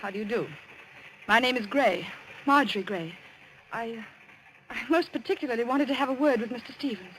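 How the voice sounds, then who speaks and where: A woman's voice: fairly high pitch, brisk, pauses often, lightly acted. An actress playing a worried, polite young English woman in a 1940s radio drama, proper and hesitant, with an English accent. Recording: noisy old 1940s radio recording.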